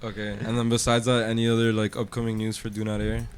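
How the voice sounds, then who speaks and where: A young man hosting a casual interview, laid-back and slangy, cursing freely and firing quick follow-up questions. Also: on the low side, monotone, smooth. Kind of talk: casual chat.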